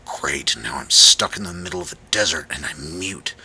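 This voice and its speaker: An overworked fast-food cook, acted by a male audiobook reader, grumbling and swearing in a forceful, bright voice. His pitch jumps widely as he gets loud and exasperated. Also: animated, slight background noise, exaggerated voice. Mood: frustrated disbelief. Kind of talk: dramatized audiobook dialogue.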